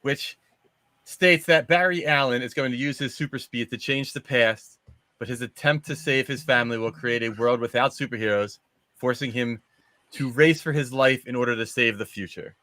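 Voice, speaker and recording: A man's voice: medium pitch, moderate pace, sharp tone, no room sound. A man hosting a casual panel discussion about movies, steering the conversation. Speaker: host of a movie discussion show.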